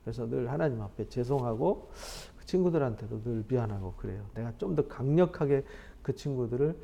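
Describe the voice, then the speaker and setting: A middle-aged man from South Korea teaching a Bible study as pastor, chatty and animated, asking rhetorical questions. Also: medium pitch, fairly quick, gravelly.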